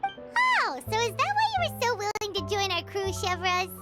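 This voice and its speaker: A woman voicing a tiny, chirpy fairy companion in a video game, with a very high, squeaky voice. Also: huge pitch swings, moderate pace, loud music behind the voice, exaggerated voice. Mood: curious. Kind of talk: game voice acting.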